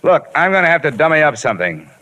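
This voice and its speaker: A man's voice: a little high, big pitch swings, quick pace, bright, forceful tone. A man with an American accent acting a hard-boiled, weary insurance investigator in an old radio detective drama, clipped and wry. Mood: weary, businesslike. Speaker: lead actor and narrator. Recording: noisy old radio broadcast recording.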